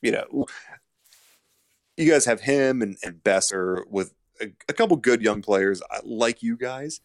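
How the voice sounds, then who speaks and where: A man appearing as a guest on a podcast, chatting in a relaxed, rambling way. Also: mid-range voice, measured pace, no echo, studio quality. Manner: relaxed, rambling, many fillers. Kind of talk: casual chat.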